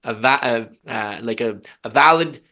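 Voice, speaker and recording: Bright-voiced earnest male podcast host. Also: mid-range voice. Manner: earnest, halting, with many restarts. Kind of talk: casual discussion.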